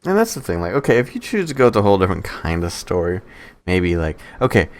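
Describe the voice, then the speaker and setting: A man with a deep, low-pitched voice chats as a podcast co-host. His delivery is casual and blunt, opinionated and peppered with swearing. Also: sing-song, fast talker.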